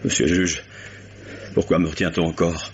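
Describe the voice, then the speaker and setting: A man's voice: deep voice, flat delivery, moderate pace, slight background noise. A man playing a stage actor suspected of murder, who is agitated, bitter and sarcastic and breaks off mid-sentence, in a dull lo-fi old radio drama. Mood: anguished. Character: anguished male stage actor accused of murder. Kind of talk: 1950s French radio drama acting.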